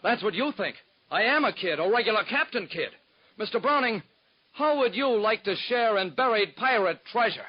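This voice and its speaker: An eager young job seeker in an old radio drama, high-voiced and pleading, earnest yet nervous. The sound is dull and lo-fi, like a 1940s broadcast transcription. His talk stays polite and anxious. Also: expressive, normal speed, breathy edge. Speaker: secretary.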